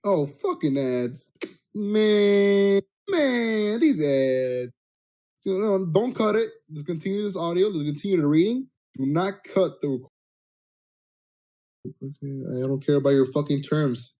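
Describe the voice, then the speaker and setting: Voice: medium pitch, animated, measured pace, warm tone, faint music behind. A person giving a crude, mock-dramatic reading of a comic story, sometimes breaking off into blunt asides. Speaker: amateur story reader.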